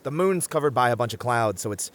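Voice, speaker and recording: A man's voice: mid-range voice, lively intonation, fast talker, close to an everyday voice. A careful, friendly young male camper , played by a man in an audio roleplay. His medium voice moves up and down a lot. He argues sensibly with a wry edge and gets exasperated easily. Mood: practical. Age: young adult. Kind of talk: ASMR roleplay audio drama acting.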